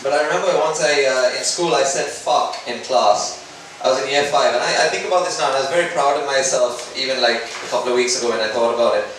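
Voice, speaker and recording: A man's voice: medium pitch, fast talker, few pauses, fairly bright tone. A man from Sri Lanka doing a conversational, self-deprecating stand-up comedy routine. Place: indoor live comedy event. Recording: very echoey room, distant mic, background noise.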